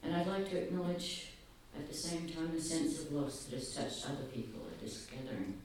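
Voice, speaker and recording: Soft-spoken man, slightly high voice, earnest and halting, far from the mic, heavy echo, faint room ambience. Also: expressive, slight background noise. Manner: earnest, halting, pausing often. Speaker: speaker at a gathering. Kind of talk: reflective public talk. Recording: very echoey, distant, faint ambience.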